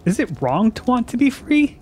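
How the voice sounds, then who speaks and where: An actor playing a defiant, hot-headed lad in a fantasy radio drama, husky and challenging in delivery. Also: medium pitch, big pitch swings, moderate pace, darkish tone, a little hiss or hum, close to an everyday voice. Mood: arguing. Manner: challenging, impetuous, declamatory.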